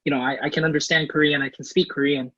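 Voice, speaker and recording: A man's voice: on the high side, flat delivery, fast, a bit bright, no echo, highs cut off. A man in his thirties from Canada, a portfolio manager giving an online career class, friendly and eager, with many fillers and restarts. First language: English.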